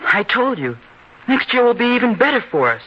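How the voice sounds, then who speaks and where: An actor playing an earnest, stubborn young son in an old-time radio drama, speaking in an eager, theatrical way. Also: male voice, very high voice, big pitch swings, fairly quick, heavily acted. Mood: stubborn, insistent. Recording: dull, noisy old-time radio recording.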